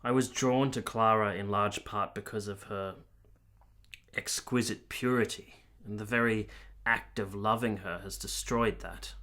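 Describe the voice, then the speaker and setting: Earnest period gentleman played by a male actor, radio play. Lowish male voice, measured and formal, a reflective, troubled lover. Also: steady tone. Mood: guilty, reflective. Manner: measured, formal literary phrasing. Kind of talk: radio drama dialogue and first-person narration.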